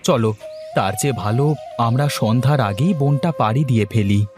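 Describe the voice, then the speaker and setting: Man acting a gentle, earnest young village son. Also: medium pitch, normal speed, over music, close to an everyday voice. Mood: reassuring. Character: dutiful, gentle young adult farmer's son. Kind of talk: cartoon fairy-tale acting.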